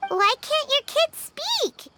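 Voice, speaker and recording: A tiny, excitable fairy sidekick from a video game, squeaky and babyish. The pitch is extremely high and bounces wildly, at a measured pace. The delivery is cute and exclamatory. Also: female voice, very noisy, exaggerated voice. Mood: puzzled. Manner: cutesy, exclamatory. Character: small childlike flying fairy companion, excitable, curious, speaks in third person. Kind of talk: video game character acting.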